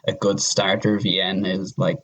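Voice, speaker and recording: A man chatting casually in a rambling, halting way, often repeating himself as he works out his point. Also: deep voice, completely flat delivery, a bit bright, slight background noise. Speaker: podcast guest. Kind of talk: casual chat.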